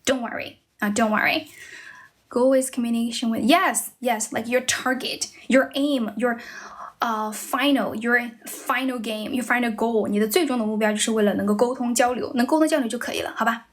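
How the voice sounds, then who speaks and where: A woman's voice: on the high side, normal speed. A young woman from China with a Chinese accent in English, chatting casually on a livestream as an English teacher and thanking viewers as she goes. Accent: Chinese, mixed with American and British.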